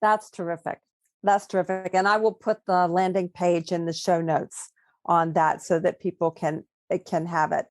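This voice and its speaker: A woman's voice: fairly low pitch, flat delivery, normal speed, darkish tone, no echo, studio quality. A woman hosting a podcast interview, asking questions and offering encouraging affirmations. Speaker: podcast host.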